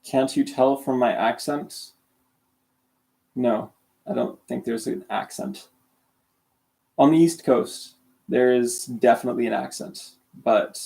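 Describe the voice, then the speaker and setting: Sleepy canadian teen guy w a sore throat, gravelly voice, rambly stream chat. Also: mid-range voice, dark tone. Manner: rambling, self-deprecating, many restarts. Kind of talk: casual livestream chat.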